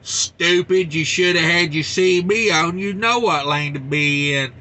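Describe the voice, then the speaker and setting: A man's voice: a little high, a little slow, sharp tone, pitched below the performer's own voice. A man voicing a grumpy male trucker who scolds another driver over the CB radio. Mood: scolding.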